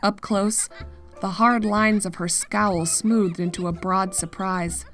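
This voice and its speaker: A woman's voice: medium pitch, steady tone, slow pace, a bit bright. Woman narrating a story over music. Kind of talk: scripted fiction narration, storytelling.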